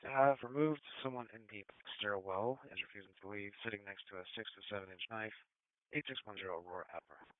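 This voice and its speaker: A man's voice: on the low side, a little fast, dry recording. A man dispatching police calls over the radio, relaying addresses and details in a clipped, businesslike way. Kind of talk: scanner radio traffic. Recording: tinny narrow-band police radio.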